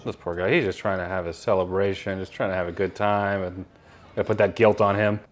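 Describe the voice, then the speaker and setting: A man's voice: deep voice, fairly even intonation, brisk, faint music behind. A man hosting a reaction video, making wry, jokey comments while watching a show. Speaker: reaction channel host.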